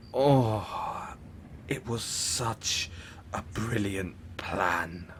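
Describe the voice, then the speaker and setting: A man's voice: lowish voice, slight background noise. A man as a pompous, swooping supervillain. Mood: gleeful, savoring. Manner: pompous, stammering, dramatic swoops and villainous chuckles. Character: theatrical, boastful male supervillain, flustered and awkward. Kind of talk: audio roleplay monologue.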